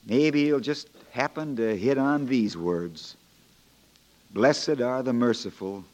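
An actor in a 1940s radio drama playing a young frontier lawyer, folksy, plain-spoken and wry but firm. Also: male voice, medium pitch, slow pace, a little mellow, natural voice, poor audio. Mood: earnest, persuasive. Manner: folksy, plain-spoken, joking, homespun turns of phrase.

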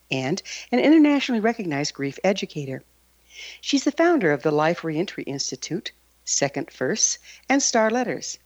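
A woman's voice: lowish voice, a little mellow, no echo. A woman hosting an interview podcast, reading a formal introduction of her guest. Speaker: podcast host. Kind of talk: interview host.

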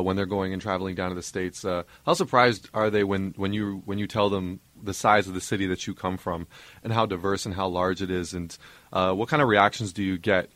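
Guy doing a sports interview, talks fast, lowish voice, long rambly questions. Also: a bit bright, no echo.

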